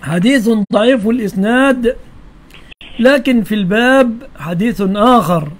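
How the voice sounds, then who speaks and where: A man's voice: extremely high pitch, moderate pace. A man with an Egyptian accent hosting a live call-in religious question session, answering callers bluntly and questioning them back.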